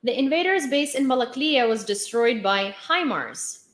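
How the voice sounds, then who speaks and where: High-voiced, outspoken Ukrainian woman. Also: animated, moderate pace, roomy sound. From Lviv, western Ukraine. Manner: outspoken, emphatic, repeats herself for stress. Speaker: livestream news host. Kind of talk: casual livestream news commentary.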